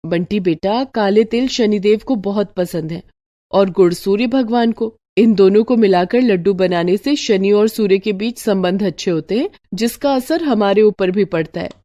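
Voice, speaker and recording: A woman voicing a gentle, devout, humble young mother in a cartoon moral story, speaking softly and patiently. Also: mid-range voice, moderate pace, no room sound, studio quality, lightly acted. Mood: gentle, earnest.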